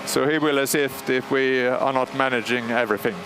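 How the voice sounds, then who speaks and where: Brief: Swedish male technician, mid pitch, measured commentary with lots of "uh", machinery noise clearly behind. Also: steady tone. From Sweden. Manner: measured, explanatory, frequent pauses and fillers. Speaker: superintendent of a historic radio transmitter start-up. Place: large machine hall at a radio station. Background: humming machinery and alarm bells.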